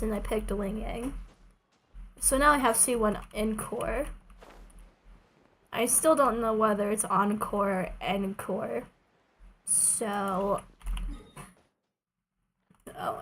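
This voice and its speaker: A woman doing casual, chatty livestream commentary while playing a game, playful and quick to swear. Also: medium pitch, a little hiss or hum, low-quality recording. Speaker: game streamer.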